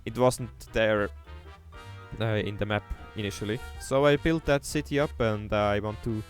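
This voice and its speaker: Young man, Swiss German accent, hesitant livestream chatter, soft music underneath. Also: on the low side, moderate pace. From Switzerland. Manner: hesitant, many fillers and restarts, reads chat aloud. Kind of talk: casual gameplay livestream commentary.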